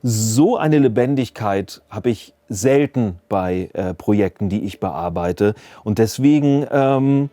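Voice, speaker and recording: A man's voice: lowish voice, expressive, takes it slowly, hardly any pauses, dark tone, no room sound. A man talking casually and reflectively in an interview as a sound designer, sometimes searching for words. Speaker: audio drama sound designer and mixer. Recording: music playing behind the voice. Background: background music.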